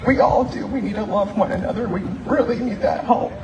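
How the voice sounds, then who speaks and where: A woman's voice: mid-range voice, a little fast, lo-fi sound. A woman who works as a therapist speaks earnestly and emotionally at an open mic. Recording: distant mic, roomy, background noise.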